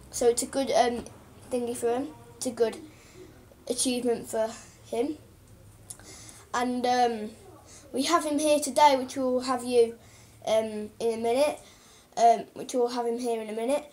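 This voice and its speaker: A young boy playing a female TV newsreader, croaky and hesitant, full of ums and restarts, recorded on a close webcam in a small room. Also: very high-pitched, darkish tone. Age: child. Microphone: webcam at close range.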